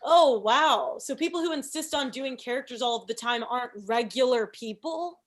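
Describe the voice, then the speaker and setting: A woman's voice: on the high side, expressive, normal speed, lightly acted. A woman playing an oblivious, meddling show coworker in a comedy table read, chatty and matter-of-fact.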